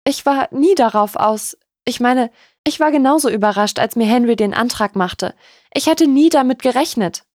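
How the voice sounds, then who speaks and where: A woman's voice: fairly high pitch, normal speed, darkish tone, no echo, clean studio sound, pitched above the performer's own voice, lightly acted. A woman voicing an earnest young fiancée in an audiobook, defending herself with urgent, emotional pleading. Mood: shocked protest.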